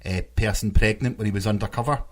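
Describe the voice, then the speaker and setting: Lower-pitched man chatting on a podcast. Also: quick pace. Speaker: podcast co-host. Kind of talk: casual podcast chat.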